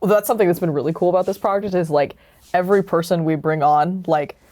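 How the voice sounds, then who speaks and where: A woman talking casually in an interview as a project's creator, expressive and peppering her speech with "like". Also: lowish voice, quick pace. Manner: animated retelling with acted-out quotes, frequent "like". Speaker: creator of an audio drama.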